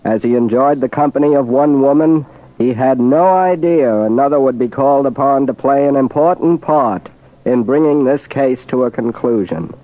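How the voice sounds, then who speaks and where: A man narrating a crime drama in the formal, deliberate style of 1940s radio, on an old recording. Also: mid-range voice, no room sound. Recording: dull vintage radio recording.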